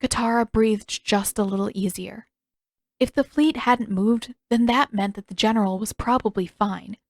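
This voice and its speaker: A woman's voice: mid-range voice, moderate pace, no room sound, clean studio sound. A woman reading scripted fiction as the narrator of a story. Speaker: podfic narrator and author.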